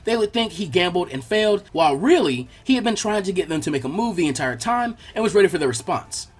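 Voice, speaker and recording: Higher-pitched man narrating a story. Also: very animated, fast talker, husky, faint music behind.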